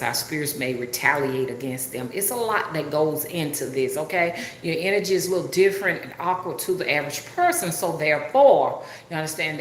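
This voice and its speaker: Bright-voiced Southern woman chatting. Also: low-pitched, roomy sound, slight background noise, poor audio. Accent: Southern US (Alabama). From Alabama, US. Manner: folksy, chatty, uses country dialect. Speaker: rootworker and spiritual reader. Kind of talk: casual live-stream chat.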